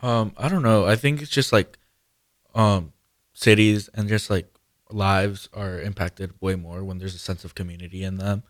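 A man's voice: deep voice, fairly even intonation, moderate pace, no echo, clean studio sound. A teenage young man from Mexico giving casual, hesitant interview answers as a student guest, often saying "like". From Guanajuato, Mexico. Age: teens, high school senior. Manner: hesitant, peppered with "like". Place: radio studio.

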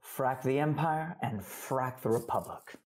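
An actor playing a calm, earnest male alien officer who hopes to be a Jedi, with a husky, intimate, measured voice in an audio drama. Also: mid-range voice, music in the background, close to an everyday voice. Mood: scornful.

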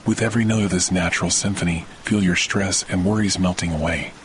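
Deep male voice doing sleep meditation, slow n soothing w long pauses, rain and thunder behind. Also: fairly even intonation, noisy background. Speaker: podcast host and meditation guide. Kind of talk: guided sleep meditation narration. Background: rain and thunder sounds.

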